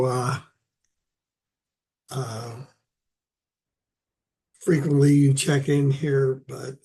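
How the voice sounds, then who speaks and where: An older man hosting a poetry lecture, his voice hoarse and raspy after an illness, talking haltingly with many pauses and fillers. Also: medium pitch, warm tone.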